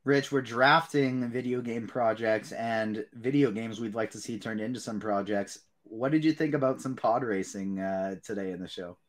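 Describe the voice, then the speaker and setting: A man's voice: fairly low pitch, normal speed, few pauses. A man hosting a casual fan podcast in a conversational style. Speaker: podcast host.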